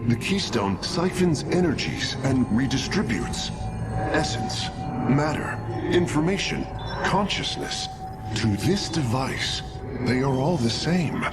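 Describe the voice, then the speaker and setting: Scholarly, hopeful alien engineer for a game, mid-range voice, formal exposition over a loud score. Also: male voice, medium pitch, expressive, slow pace. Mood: earnest, hopeful. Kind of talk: dramatic sci-fi game acting. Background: loud music.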